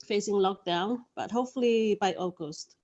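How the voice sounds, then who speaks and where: A woman's voice: medium pitch, normal speed, darkish tone. A woman from Samoa introducing herself to a group as an incoming graduate student. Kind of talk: self-introduction on a video call. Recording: dull video call audio.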